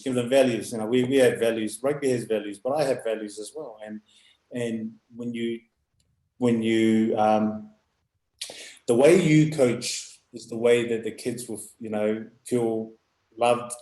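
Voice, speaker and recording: Man, loud foghorn voice, halting and laughing, youth rugby coach, echoey room. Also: fairly even intonation. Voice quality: loud booming voice. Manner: halting, restarts, laughs often. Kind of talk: live video call chat.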